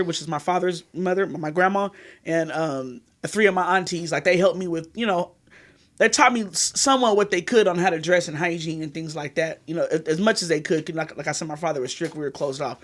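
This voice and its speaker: Woman from california, 30s, quick rambling talk, lots of "you know what i mean". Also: on the low side. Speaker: guest. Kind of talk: candid interview conversation.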